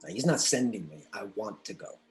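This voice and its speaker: A man's voice: fairly low pitch, lively intonation, brisk, faint music behind, natural voice. A man reading a hesitant teenage boy. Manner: hesitant, with frequent "uh". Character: nervous teenage boy, polite and guarded. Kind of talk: play table read.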